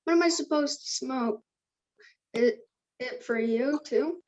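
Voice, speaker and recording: A woman acts out an easygoing, generous teenage boy in a screenplay table read. The voice sits a bit high, with casual dialogue delivery. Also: slow pace, a little mellow.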